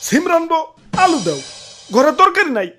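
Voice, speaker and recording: A woman's voice: very high voice, big pitch swings, moderate pace, a bit bright, faint music behind, heavily acted. A woman playing a boastful, touchy potato king in a cartoon, scolding pompously with a Bangladeshi accent. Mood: indignant. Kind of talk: comic cartoon acting.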